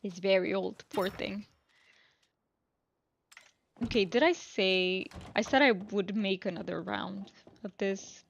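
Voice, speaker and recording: A woman live streamer chatting casually while playing a video game, relaxed and rambling as she thinks aloud. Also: mid-range voice, takes it slowly, vocal fry, pauses often, dull audio, slight background noise. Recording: music playing behind the voice. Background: music.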